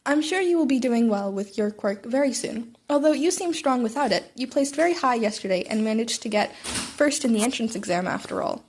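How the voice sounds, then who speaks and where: Woman doing a super polite earnest teen boy student, formal wording, highish voice. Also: lively intonation, fairly quick, darkish tone, slight background noise. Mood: earnest praise.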